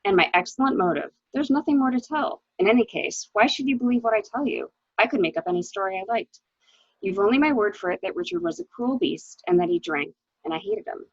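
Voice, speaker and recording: A woman playing a cool, weary, bitter wife in a murder mystery reading, with a wry, deliberate, dramatic delivery on a lo-fi recording. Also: on the high side, sing-song, breathy edge, no echo, full character voice. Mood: challenging. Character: cool, weary, bitter wife who shot her husband. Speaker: actor in an amateur library reading.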